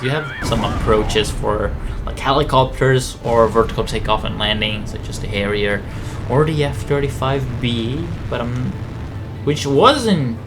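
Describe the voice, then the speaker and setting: Man casually commentating over game music. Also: medium pitch. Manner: rambling, explanatory, conversational asides. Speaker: gameplay commentator, channel host.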